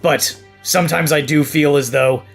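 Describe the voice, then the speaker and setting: High-ish male voice, podcast host, casual comedic narration, music behind. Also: fairly even intonation, moderate pace, vocal fry.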